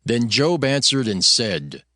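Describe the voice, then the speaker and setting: Bright, slow-paced male Bible narrator. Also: medium pitch, very animated, no echo, clean studio sound. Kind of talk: scripted narration of audio Bible. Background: faint music.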